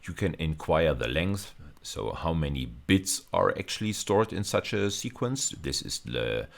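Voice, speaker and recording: A man's voice: sits low, very animated, a little slow, gravelly. A man giving an explanatory video lecture as a university lecturer, often repeating and rephrasing his phrases as he explains.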